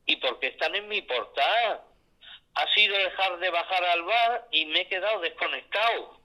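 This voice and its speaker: Bright-voiced, stubborn Spanish flirt on the phone played by a man. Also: sits high, normal speed, poor audio. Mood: curious, plaintive. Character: housebound, flirtatious, stubborn man pleading for company. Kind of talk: Spanish audio drama acting.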